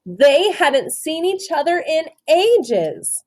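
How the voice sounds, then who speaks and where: A woman teacher gives an expressive read-aloud of a children's story. Her pitch is lively and moves freely. She stops to ask questions and explain things simply. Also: slow, no room sound, studio quality.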